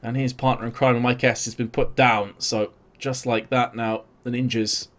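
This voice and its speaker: A man's voice: medium pitch, little pitch movement, normal speed. A young man casting a live esports match, his energy rising and falling with the action. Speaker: esports caster. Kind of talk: live play-by-play commentary.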